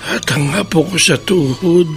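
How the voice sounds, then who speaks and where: A frail, elderly great-grandfather in a radio drama, longing for his family. His raised, creaky voice drags weakly over a loud music bed. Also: a little high. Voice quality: creaky, weak. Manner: slow, labored, drawn-out. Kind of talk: Filipino radio drama acting.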